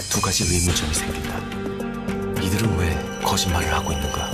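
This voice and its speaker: Man playing a very deep-voiced, brooding criminal profiler. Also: natural voice. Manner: measured, grave, deliberate. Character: calm, brooding male criminal profiler working a murder case. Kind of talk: audio drama acting with inner monologue. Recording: music bed under the voice. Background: background music.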